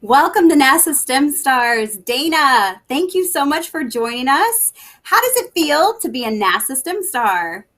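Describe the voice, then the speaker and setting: A woman's voice: high-pitched, very animated, measured pace, a bit bright. A woman hosting a live webcast over a video call, interviewing her guest in an upbeat, enthusiastic way. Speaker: host, NASA education specialist.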